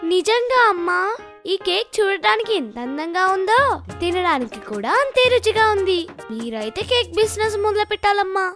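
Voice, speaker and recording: A woman voicing a sweet, eager little girl in a children's cartoon, gushing and excitable. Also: very high voice, moderate pace, soft background music, heavily acted. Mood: excited. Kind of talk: animated children's story dubbing.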